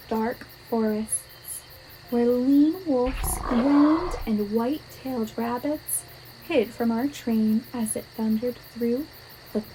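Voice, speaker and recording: A woman reads a children's storybook aloud at a very unhurried pace. Her voice is mellow and somewhat high, with light music behind. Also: low-quality recording. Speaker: guest storybook reader, preschool teacher. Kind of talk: children's picture book read-aloud.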